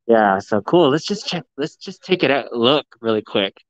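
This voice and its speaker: Excited man touring a pinball showroom. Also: mid-range voice, normal speed, no echo, dull audio. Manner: excited, enthusiastic, addressing viewers. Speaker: vlogger, live streamer. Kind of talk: live walkthrough commentary. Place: large indoor arcade showroom. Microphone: phone camera.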